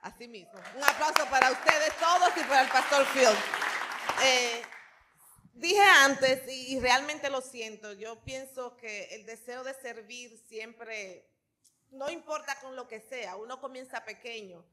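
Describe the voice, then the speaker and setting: A middle-aged Dominican woman gives a public address from a church stage. Her voice is a little high, with a bright, forceful edge. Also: a little slow, a faint crowd. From Dominican Republic. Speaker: town mayor, guest speaker. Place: large church auditorium stage. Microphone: handheld microphone.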